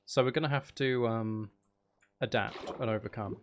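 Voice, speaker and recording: Male streamer, medium pitch, casual and playful, thinking aloud as he plays, slight background noise. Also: steady tone, moderate pace. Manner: mutters and counts aloud to himself; playful, self-deprecating, sweary. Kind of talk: casual gameplay commentary while building.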